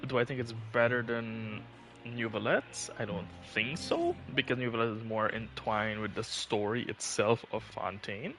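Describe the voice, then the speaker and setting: A man's voice: fairly low pitch, moderate pace, a bit bright, soft background music, low-quality recording. A man livestreaming a video game, giving casual commentary.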